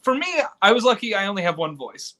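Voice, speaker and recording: A man's voice: high voice, animated, fast, crowd noise. A man, a stage actor, answering interview questions casually over a video call.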